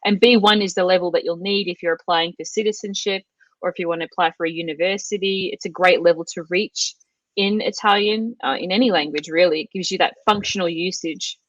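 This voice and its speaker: Middle-aged Australian woman guest, lowish voice, dry dull lo-fi sound, chatty with fillers. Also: steady tone, fairly quick, no echo. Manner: chatty, many fillers and restarts. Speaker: guest, language course creator. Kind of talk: casual interview chat.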